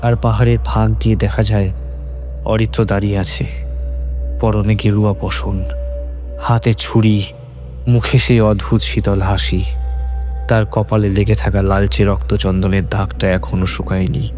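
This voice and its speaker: A man's voice: on the low side, flat delivery, unhurried, pauses often, a little mellow. A man reading a scripted horror story as its narrator. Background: background music.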